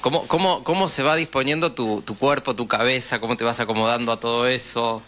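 A man's voice: mid-range voice, fairly quick, bright, forceful tone, slight background noise. A man with a Rioplatense Argentine accent, a radio interviewer who forms his questions hesitantly, repeating words as he goes.

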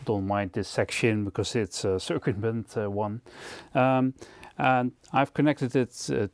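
A man giving an unscripted product demonstration as presenter, explaining in a halting, hesitant way. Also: medium pitch, steady tone, no room sound. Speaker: gear reviewer and presenter.

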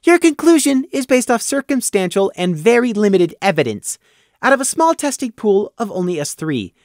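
A man's voice: sits high, normal speed, no echo, studio quality, pitched above the performer's own voice. A man doing a small, wary young lamb. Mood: wary flat. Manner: flat, wary, precise. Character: small, timid, suspicious young male lamb.

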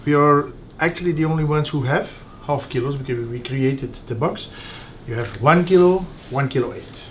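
Halting man leading a tasting. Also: on the high side, a little hiss or hum. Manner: halting, many uh fillers and restarts. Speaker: caviar producer guide. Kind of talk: explaining on a guided tasting. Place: small tasting room indoors.

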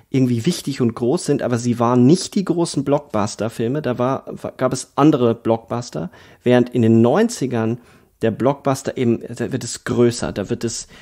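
A man's voice: mid-range voice, darkish tone. German man hosting a film podcast. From Bavaria, Germany. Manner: thoughtful, many fillers and restarts. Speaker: podcast host. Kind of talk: casual film discussion.

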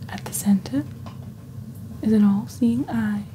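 Female ASMR host, hushed and breathy, very mellow tone, slow theatrical roleplay delivery, close mic. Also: medium pitch, a little hiss or hum. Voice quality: breathy, whispery. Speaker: host of a roleplay shopping channel. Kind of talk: soft-spoken ASMR, scripted roleplay presentation. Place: indoors at a desk. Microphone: close desktop microphone.

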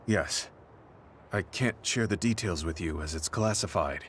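A man's voice: low voice, measured pace, fairly bright tone, a little hiss or hum. An actor playing a stern, earnest, middle-aged male soldier in a game cutscene, speaking seriously and bluntly. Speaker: game character.

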